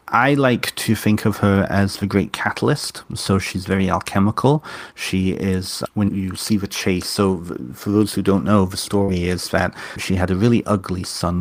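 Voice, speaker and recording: A man with a very low, deep voice and a Welsh-tinged British accent tells an old legend with eager enthusiasm, restarting phrases as he goes. The sound is dry and close, with no room around it. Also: vocal fry, dry recording. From Wales. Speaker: podcast guest, author and witch. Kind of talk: casual storytelling interview.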